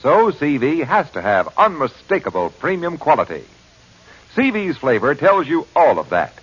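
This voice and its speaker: A man's voice: on the high side, wildly swooping pitch, moderate pace, a bit bright, husky. A man announcing on a 1940s radio show, reading scripted copy with emphatic, salesmanlike delivery. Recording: muffled old radio recording.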